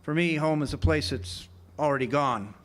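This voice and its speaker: An actor playing a world-weary, sardonic ex-jailbird drifter in a radio drama, wry and laconic. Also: male voice, a little high, normal speed, lightly acted. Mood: skeptical, wry. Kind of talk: radio drama acting with first-person narration. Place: live amphitheater stage performance. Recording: live stage recording with music.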